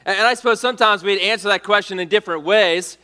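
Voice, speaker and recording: Bright, clear-voiced male pastor. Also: sits high. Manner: measured, repeats key questions. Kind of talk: sermon. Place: church.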